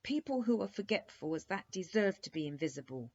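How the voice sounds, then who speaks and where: A sensitive little storybook princess played by a woman speaks emphatically, with childish dignity. Her voice is pitched high, on a dull-sounding recording. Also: very animated, a little fast. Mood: indignant.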